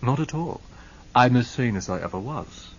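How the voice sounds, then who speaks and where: A man voicing a composed, measured male lawyer, on a muffled lo-fi recording. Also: mid-range voice, animated, slight background noise, natural voice. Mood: calm. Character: calm, smooth-talking male lawyer.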